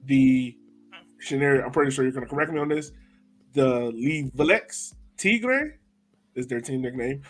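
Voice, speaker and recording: A male podcast host reading out quick facts in a casual, easygoing way. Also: medium pitch, lively intonation, faint music behind, low-quality recording. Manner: easygoing, reads out facts with light laughs.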